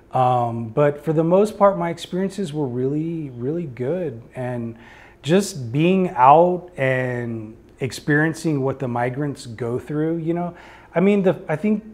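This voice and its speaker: A man speaks as an artist taking questions from a small gallery audience. His voice sits at a medium pitch. He is earnest and reflective, often pausing and restarting as he works out his answer. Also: darkish tone. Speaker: artist giving a gallery talk. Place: indoor art gallery before a small seated audience.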